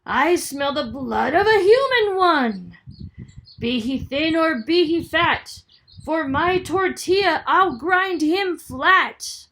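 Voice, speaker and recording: A woman's voice: lively intonation, fairly bright tone, a little hiss or hum, full character voice. A woman voicing a terrible, hungry male giant in a children's story, with a thunderous, roaring delivery. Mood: menacing. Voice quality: thunderous, booming. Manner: roaring, drawn-out exclamations. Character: terrible giant man, hungry, menacing, bellowing.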